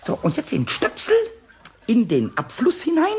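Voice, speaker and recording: A man playing a small, cheeky, childlike kobold in a radio play, with a squeaky cackling voice, stammered repetitions. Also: wildly swooping pitch, moderate pace, exaggerated voice. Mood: busy, gleeful. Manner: stammering repetitions, childish exclamations, sing-song rhymes. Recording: noisy old radio recording.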